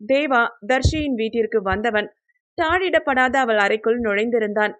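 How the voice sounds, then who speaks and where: A woman with a fairly high voice reads a romance novel aloud. Her narration is scripted and dramatic, and she tells it expressively as an audiobook storyteller. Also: normal speed. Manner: expressive, acts out the dialogue.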